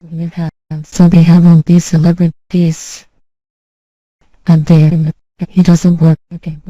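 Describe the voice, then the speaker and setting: Very dark, monotone changed woman's voice. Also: mid-range voice, slow pace, no echo. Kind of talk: casual product demonstration. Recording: dull, lo-fi sound.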